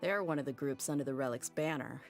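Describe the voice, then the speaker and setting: An actress playing a tough, blunt mercenary leader in a game cutscene, frank and guarded with casual slang. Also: female voice, a bass voice, quick pace, faint music behind.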